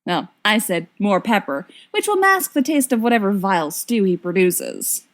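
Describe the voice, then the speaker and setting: A woman's voice: medium pitch, very animated, normal speed, lightly acted. A woman reading a storybook part as a cheeky, melodramatic young man who teases and plays mournful. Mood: teasing. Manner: theatrical, mock-mournful, teasing.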